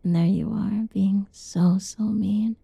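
A young woman whispering softly in a teasing, cuddly ASMR roleplay, with giggles between lines. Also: medium pitch, steady tone, takes it slowly, creaky, slightly rough, dry recording. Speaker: roleplay narrator.

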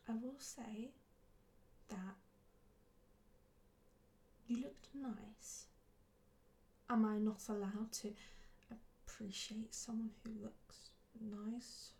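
A woman playing a flustered, hesitant woman in an audio roleplay, defensive but quick to yield, in a halting, breathy whisper. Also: a little high, steady tone, dark tone, a little hiss or hum. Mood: reluctant, pleading. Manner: halting, stammering.

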